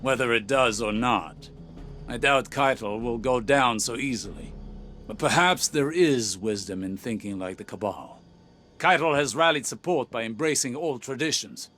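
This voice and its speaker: A man plays a calculating war adviser in a sci-fi game. His mid-pitched voice is bright and incisive, measured and persuasive over faint music. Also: expressive, close to an everyday voice. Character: shrewd, calculating male elder strategist adviser. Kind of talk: dramatic sci-fi game voice acting.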